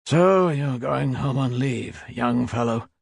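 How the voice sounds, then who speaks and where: A man reading an audiobook voices a weary fifty-year-old soldier who talks in a casual, mocking, unhurried way. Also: medium pitch, expressive, dry recording, clean studio sound, pitched above the performer's own voice. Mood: amused.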